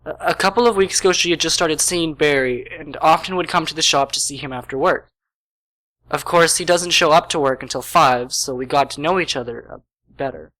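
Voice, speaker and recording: A man's voice: fairly high pitch, pushed and bright, poor audio. A man playing a nervous, secretive young auto shop assistant who stammers, in an amateur radio play. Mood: confessional. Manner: halting, stammering.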